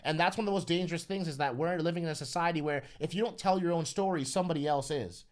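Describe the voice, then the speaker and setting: A man's voice: fairly high pitch, fast talker. A man co-hosting a casual podcast, speaking earnestly and building long, articulate points. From Caribbean family background. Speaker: podcast co-host.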